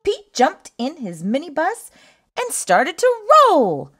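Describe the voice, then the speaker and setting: A woman reads a picture book aloud in a high voice, very animated and slow. Her storytelling is expressive and sing-song, leaning on each rhyme for young listeners. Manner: sing-song, emphatic rhyming delivery. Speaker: children's book reader.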